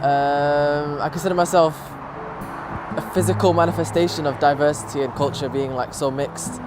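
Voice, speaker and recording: Thoughtful young man, fairly high voice. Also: steady tone, normal speed. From raised in Manchester, born in London. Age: young adult. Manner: thoughtful, searching for words. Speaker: student interviewee. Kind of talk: documentary interview. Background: music.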